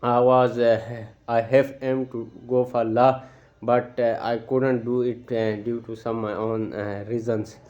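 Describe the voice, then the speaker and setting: Halting young Pakistani man, Shina speaker. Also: medium pitch, level tone, a little mellow, highs cut off. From Gilgit-Baltistan, Pakistan. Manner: halting, many filler pauses and restarts. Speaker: student speaking to camera. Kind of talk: unscripted personal talk.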